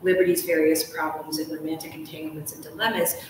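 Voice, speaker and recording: A woman hosting a conversational book interview, effusive and discursive, pausing often as she builds long questions. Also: deep voice, flat delivery. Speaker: host and interviewer. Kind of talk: live on-stage style book interview, conversational. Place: large ornate hotel room, indoors. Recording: echoey room, distant mic, faint noise.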